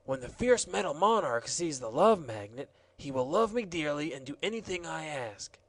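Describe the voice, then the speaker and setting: A man's voice: fairly high pitch, sing-song, normal speed, pitched above the performer's own voice. A man reading a storybook aloud, voicing a rambling, easygoing wandering man in a chatty, offhand way. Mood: confident. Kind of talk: audiobook character voice.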